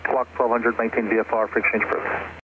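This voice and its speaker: Dull radio voice, fast male controller. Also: lowish voice, flat delivery. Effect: aircraft radio. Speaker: air traffic controller. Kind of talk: clipped radio instructions. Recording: dull, slightly noisy aviation radio.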